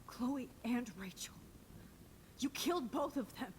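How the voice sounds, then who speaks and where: An actress playing a defiant, distraught teenage girl held captive in a video game, speaking in an intense, hushed, accusing way. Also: a little high, expressive, measured pace, slight background noise. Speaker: heroine in a story video game. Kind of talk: dramatic video game acting.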